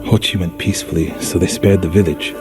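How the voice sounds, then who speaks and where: An actor portrays a somber male warrior monk in a game drama. His fairly low voice is blunt and bitter, with music clearly playing behind it. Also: steady tone, fairly quick, natural voice. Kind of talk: cinematic game voice acting.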